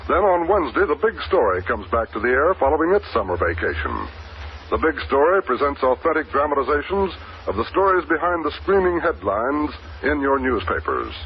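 Animated American old-time radio announcer, male. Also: fairly high pitch, a little fast. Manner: formal, declamatory. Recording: muffled, hissy old radio broadcast.

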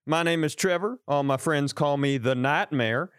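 A man's voice: a little high, normal speed, fairly bright tone, clean tone, no echo, clean studio sound, lightly acted. A man voicing a hotheaded dirt-bike-riding redneck who rants and gets worked up. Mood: ranting. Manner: ranting and worked up.

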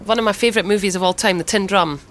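A woman's voice: mid-range voice, very fast, a bit bright. Candid laughing woman, swears freely. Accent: Scottish. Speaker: band singer, interview guest. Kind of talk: casual interview banter.

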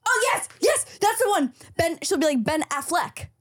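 A woman's voice: extremely high pitch, very animated, normal speed, very noisy. A woman co-hosting a podcast, chatting casually and playfully, often giggling and swearing. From Canada. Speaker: podcast co-host. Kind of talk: casual chat.

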